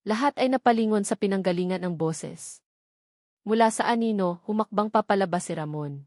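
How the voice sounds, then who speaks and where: A woman's voice: medium pitch, normal speed, a little mellow, no room sound, clean studio sound. A woman reading scripted narration of a dramatic love story.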